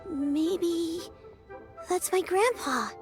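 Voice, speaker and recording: A woman's voice: extremely high pitch, drawn-out words, soft background music, exaggerated voice. A woman voicing an earnest, thoughtful youngster in a video game, with a pondering delivery.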